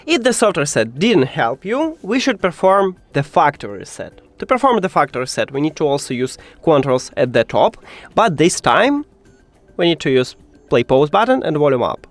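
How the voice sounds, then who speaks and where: A man who speaks English as a second language, presenting a step-by-step how-to tutorial with simple, instructional phrasing. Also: on the high side. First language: not English.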